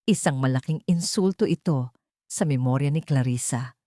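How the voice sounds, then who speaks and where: A woman's voice: rumbling low, normal speed, dark tone, no room sound, studio quality. A woman voicing a haughty, spiteful older aunt in a family drama, sneering and scornful. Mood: angry, accusing.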